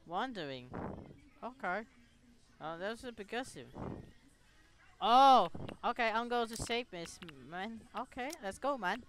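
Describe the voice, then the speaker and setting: A man hosting a gaming video, reading game text aloud and commenting in short, halting reactions. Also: sits high, lively intonation, a bit bright, slight background noise.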